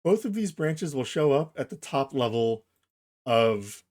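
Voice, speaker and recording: A man narrating a casual software tutorial, explaining things informally, step by step, as its host. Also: medium pitch, expressive, measured pace.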